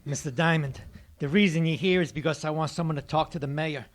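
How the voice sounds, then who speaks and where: An actor playing a coldly mad escaped convict with a bomb in an old-time radio drama, formal and calmly menacing. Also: male voice, on the high side, a little fast, natural voice. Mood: calm menace.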